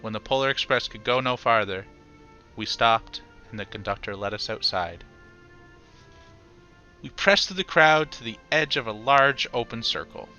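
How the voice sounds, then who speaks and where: A man narrating a children's picture book aloud in expressive, measured, dramatic phrasing. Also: medium pitch, lo-fi sound, soft background music. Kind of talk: expressive bedtime-story narration.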